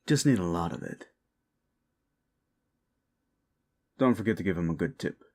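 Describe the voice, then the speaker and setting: A man playing a gruff, world-weary demon agent who hunts monsters, with a terse, laid-back, wry drawl, in an action-horror audio drama. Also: sits low, lively intonation, quick pace, a little mellow. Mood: casual, wry.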